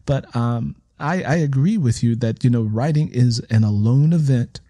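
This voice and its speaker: Male interviewer, mid-range pitch, reflective and emphatic, repeating phrases for stress; conversational delivery, dry close sound. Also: moderate pace, dark tone, dry recording. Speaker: interviewer and writer. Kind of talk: reflective conversational interview talk about writing.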